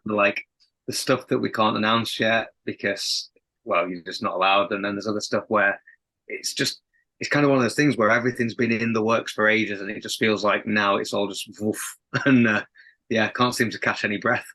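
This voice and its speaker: Young guy with a faint sheffield accent, lowish bright voice, rambles and laughs a lot. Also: fairly even intonation, moderate pace, rough recording. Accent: Sheffield, softened. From England. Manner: rambling, self-interrupting, laughs often. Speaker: band lead singer, guest. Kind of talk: casual podcast interview.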